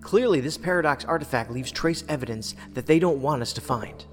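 A man's voice: medium pitch, fairly quick, close to an everyday voice. A man playing a sardonic, wordy, philosophical federal investigator in a science fiction audio drama. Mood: dry, musing. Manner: wry, wordy, lecturing. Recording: long hall reverb, music underneath.

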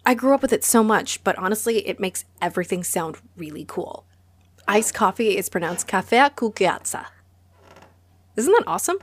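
A woman's voice: mid-range voice, lively intonation, moderate pace, husky. A woman playing a friendly, easily flustered vampire who runs a cozy cafe, chatty and apologetic, in a roleplay audio drama spoken to the listener. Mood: cheerful, chatty.

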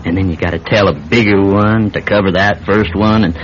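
An actor playing a wry, unhurried, folksy small-town police chief in a classic radio drama. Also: male voice, deep voice, fairly even intonation, lightly acted. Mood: sly, folksy. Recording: dull, noisy old radio recording.

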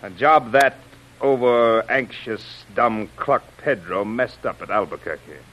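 A man's voice: on the low side, a little slow, muffled recording. A sinister gang chief from a classic radio crime serial. He is sardonic and commanding, and he lays down orders in tough underworld slang with open scorn. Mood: scornful, irritated. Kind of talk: old-time radio crime serial acting.

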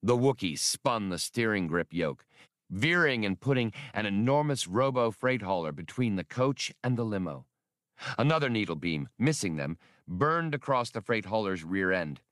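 A man's voice: lowish voice, very animated, moderate pace, a bit bright, no room sound, studio quality. A man reading an adventure novel as scripted, dramatic audiobook narration.

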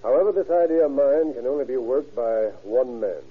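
A man's voice: mid-range voice, animated, normal speed, breathy edge. An American man playing a confident, easygoing police captain in a radio crime drama, talking in a folksy, assured way. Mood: easygoing, brisk. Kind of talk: old-time radio crime drama acting. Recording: narrow, tinny vintage radio broadcast.

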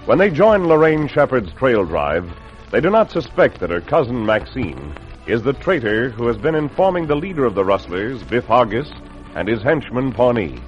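A man's voice: mid-range voice, very animated, moderate pace, soft background music. A man narrating a radio adventure serial in a dramatic, scripted mid-century announcer style. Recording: muffled old radio broadcast.

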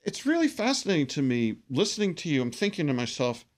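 A man's voice: a little high, big pitch swings, fast. A man hosting a business radio show, reflecting on his guest's answers and reading sponsor thanks. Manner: reflective, summarizing. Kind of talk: interview and sponsor reads.

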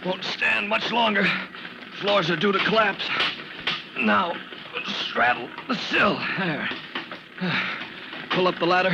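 Casting: gutsy young rookie fireman, high, earnest, strained, on a noisy vintage radio recording. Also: exaggerated voice. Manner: strained muttering to himself, earnest. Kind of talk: old-time radio drama acting.

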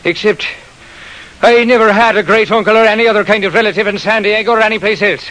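A man playing an excitable, honest garbage collector in an old-time radio drama. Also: high voice, fast, voice pushed up, rough recording. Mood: eager, anxious. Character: excitable honest Irish-American garbage collector turned millionaire. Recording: vintage radio recording.

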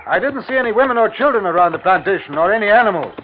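Grave, uneasy commissioner, tinny old radio. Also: male voice, sits high, expressive, slight background noise. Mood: uneasy, doubtful. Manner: measured, grave, dramatic delivery. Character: uneasy, awestruck male colonial district commissioner recounting his ordeal. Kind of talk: 1950s radio drama acting and narration. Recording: tinny, band-limited 1950s radio recording, music behind.

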